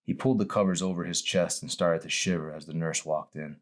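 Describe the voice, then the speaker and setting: A man narrates a novel as its author. His voice is low in pitch, and he reads scripted prose in audiobook style. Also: fairly even intonation, a little fast. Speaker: author reading own novel.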